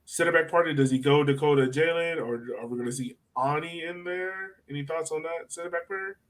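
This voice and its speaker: A man's voice: on the high side, fairly quick. A man hosting a sports podcast, talking casually and putting questions to his co-hosts. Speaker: podcast host.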